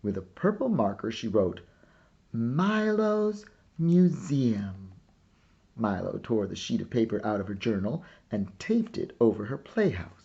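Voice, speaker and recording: A man reading a children's story aloud in a deliberate, animated narrating style. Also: lowish voice, gravelly, muffled recording. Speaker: storybook reader.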